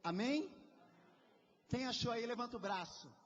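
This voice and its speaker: Young man, fervent lay preacher, high voice, dull lo-fi room recording. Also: expressive, measured pace, fairly bright tone. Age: 20s. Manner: fervent, urges the crowd with repeated calls. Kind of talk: charismatic prayer group preaching. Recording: dull lo-fi sound with long hall reverb.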